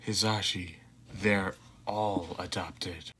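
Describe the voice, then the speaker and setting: A man voicing a tired, grumpy father in a flat monotone, muttering dry sarcasm for an audio fan drama. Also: deep voice, slow, husky, pitched below the performer's own voice, full character voice. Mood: weary deadpan. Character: deadpan, tired, grumpy dark-haired father.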